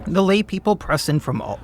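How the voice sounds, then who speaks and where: A man's voice: high-pitched, sing-song, a little slow, vocal fry, a little mellow. A man who is losing his voice, reading a video game aloud and making wry, joking asides as the player. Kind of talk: casual playthrough commentary and dramatic reading.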